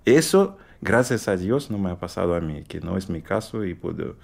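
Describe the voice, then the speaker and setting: A man with a Russian accent, speaking non-native Spanish in a radio interview, halting and searching for words. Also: deep voice, lively intonation, creaky, darkish tone. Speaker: guest pianist.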